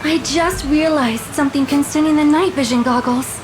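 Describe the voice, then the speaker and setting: A woman voicing an animated teenage girl student in an anime murder mystery game. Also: high voice, moderate pace, background noise, close to an everyday voice. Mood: doubtful. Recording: loud game music underneath.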